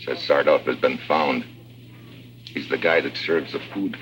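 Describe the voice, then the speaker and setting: A man's voice: extremely low pitch, steady tone, a little slow, a bit bright, slight background noise, rough recording. An actor playing a tough, stubborn American man undercover in a prison camp, speaking in blunt slang in 1950s radio drama style. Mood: wary. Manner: blunt, slangy, hard-boiled. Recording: old radio drama with music behind.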